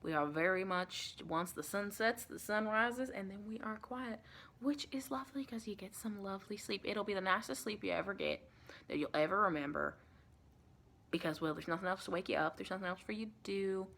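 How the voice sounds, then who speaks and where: Casting: woman to play sweet, rambling American vampire lady, ASMR roleplay, medium pitch with plenty of vocal fry. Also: brisk, a bit bright. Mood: chatty, reassuring. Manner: chatty, rambling, reassuring, calls listener sweetie. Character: sweet, reassuring female vampire welcoming a new recruit.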